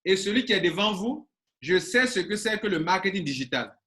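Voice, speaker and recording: A man in his forties speaks to his audience as a business coach. His voice sits high for a man and rings bright and forceful. He drives points home by repeating them. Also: expressive, fairly quick. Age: 40s. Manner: emphatic, repeating phrases for stress. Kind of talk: casual talk to viewers.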